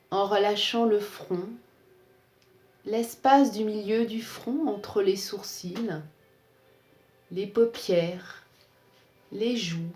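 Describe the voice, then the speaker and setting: Slow, mellow woman guiding relaxation. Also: mid-range voice. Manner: calm, soothing, measured phrasing with pauses. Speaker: yoga nidra instructor. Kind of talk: guided relaxation meditation. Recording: roomy, echoing sound.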